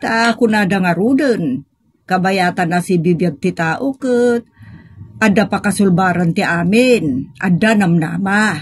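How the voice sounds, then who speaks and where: A woman's voice: medium pitch, a little slow, husky. A woman playing a patient, devout older wife in an audio drama, earnest and coaxing, with an Ilocano accent. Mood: earnest, reassuring. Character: patient, devout older wife counseling her troubled husband. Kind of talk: Filipino audio drama acting.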